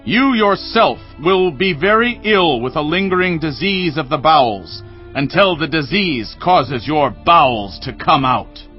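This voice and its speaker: A stern prophet who hurls accusations in a fairly high, ringing voice. The recording is dull and lo-fi, with soft music underneath, like an audio Bible drama. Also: male voice, expressive, unhurried. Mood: stern denunciation. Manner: forceful, accusing, proclaiming. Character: stern male prophet delivering a doom letter. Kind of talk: dramatized scripture reading.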